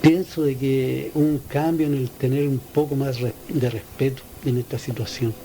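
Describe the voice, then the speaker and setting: A man giving an informal spoken account of a personal experience as an interviewee, halting and repeating his words. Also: medium pitch, fairly even intonation, warm tone. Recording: noisy ambient field interview recording.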